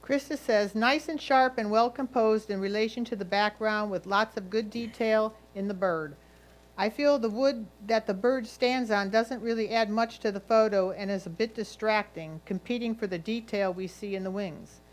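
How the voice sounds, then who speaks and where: A woman's voice: mid-range voice, normal speed, rough recording. A woman reading a judge's written critique aloud. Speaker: reader of judges' comments.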